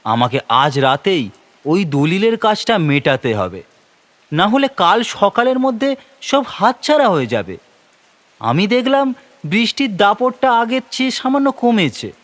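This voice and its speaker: A man narrating a horror story in a suspenseful, expressive, dramatic manner. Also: high voice, measured pace. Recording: rain and noise behind narration. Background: rain.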